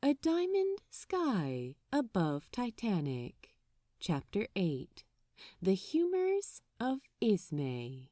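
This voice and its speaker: Female audiobook-style narrator, slow and deliberate, hugely varied intonation, expressive scripted storytelling, dry close sound. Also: medium pitch.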